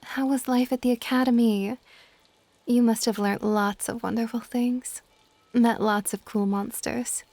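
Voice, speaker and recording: A woman voicing an ancient, gentle and possessive dragon goddess in a soft, intimate, partly whispered ASMR roleplay. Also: fairly high pitch, fairly even intonation, measured pace, dry recording. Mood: tender, wistful. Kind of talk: ASMR romantic audio roleplay.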